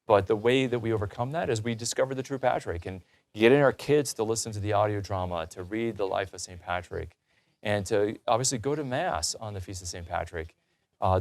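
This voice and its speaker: A man hosting a religious talk show in a conversational, earnest and encouraging way. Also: lowish voice.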